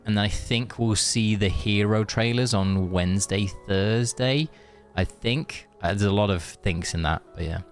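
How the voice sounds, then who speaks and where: A man's voice: lowish voice, measured pace, hardly any pauses, soft background music. A man chatting casually on a game live stream, rambling and answering viewers as he plays. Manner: rambling, chatty, reads chat aloud.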